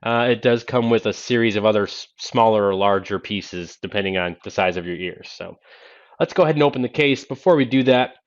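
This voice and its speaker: A man in his thirties hosting a casual tech unboxing in a halting, conversational way, speaking into a Bluetooth headset in a small office. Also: on the low side. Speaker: tech video host demonstrating a headset. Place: small home office, indoors. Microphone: single-ear Bluetooth headset. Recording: dull narrow-band Bluetooth headset sound.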